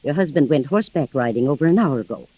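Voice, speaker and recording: Casting: attentive servant-companion, mellow husky voice, deferential, old radio mystery. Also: female voice, deep voice, expressive, quick pace, dry recording, rough recording. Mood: concerned, discreet. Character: concerned female household attendant, attentive and deferential. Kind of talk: 1940s radio mystery acting. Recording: vintage 1940s radio recording with hiss.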